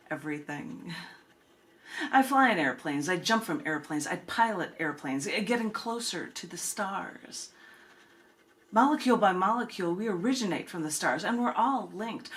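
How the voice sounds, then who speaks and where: A woman hosting a poetry reading, speaking expressively and deliberately, with casual asides. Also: medium pitch, a bit bright. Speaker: poet and reading host. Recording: clearly noisy room recording.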